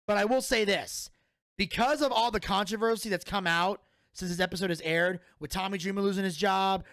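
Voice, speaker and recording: A man's voice: sits high, a bit bright, dry recording, studio quality. A man in his thirties hosting a solo podcast, giving a blunt, profane and opinionated review. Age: 30s. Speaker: podcast host.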